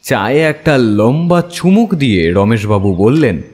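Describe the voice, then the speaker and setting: A man playing a detective's wry, chatty companion who narrates the case as an expressive first-person story. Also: mid-range voice, measured pace, big-room sound, lightly acted. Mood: wry, reflective.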